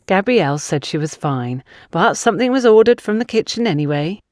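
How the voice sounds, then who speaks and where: A woman narrates a novel in a scripted storytelling style. Her mid-pitched voice moves with lively intonation, and the recording is dry, without room sound. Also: fairly quick, no echo. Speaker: audiobook narrator.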